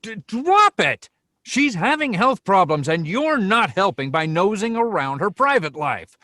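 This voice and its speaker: Guy reading an irritable detective agency boss, kinda high lively voice, scolds a lot, table read. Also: measured pace, no room sound, studio quality, heavily acted. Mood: stern, exasperated. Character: irritable male private investigation agency boss.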